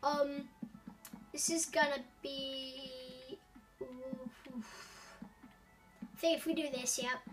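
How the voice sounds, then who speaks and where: A woman's voice: high-pitched, little pitch movement, very slow, pauses often, recorded from a distance, music in the background, poor audio. A woman hosting a casual building walkthrough, rambling with many ums and self-corrections. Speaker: gaming tutorial host.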